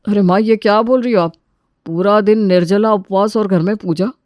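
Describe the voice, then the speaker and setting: A protesting working man , voiced by a woman in a storybook family drama. He sounds exasperated and reluctant, at a medium pitch in a dry, close recording. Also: moderate pace, a little mellow, no room sound, close to an everyday voice. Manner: complaining, protesting. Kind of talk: acted dialogue in a moral story.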